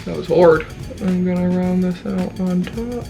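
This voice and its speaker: Bubbly Midwestern American woman, low voice. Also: unhurried, vocal fry, loud music behind the voice. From Chicago. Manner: bubbly, playful, self-mocking. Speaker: video host. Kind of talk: casual chat while doing makeup.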